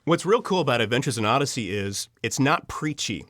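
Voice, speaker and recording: A man speaks casually as an interview guest, recorded close and dry. His pitch swings widely as he tells personal stories, and he acts out reactions in an animated way. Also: fairly quick, dry recording. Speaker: interview guest, audio drama writer and producer.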